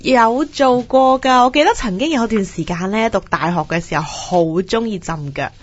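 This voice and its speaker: A woman's voice: on the high side, moderate pace. Young woman co-host, slightly muffled sound. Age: young adult. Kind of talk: casual chat.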